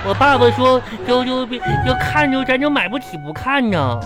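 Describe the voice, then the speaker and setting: Dim, swooping, high-voiced little schoolboy. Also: female voice, expressive, loud music behind the voice, over a noisy crowd. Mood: cocky, dim. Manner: childish, halting, stammering, repeating words. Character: slow-witted, stubborn, cocky little schoolboy. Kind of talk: comedic radio play acting.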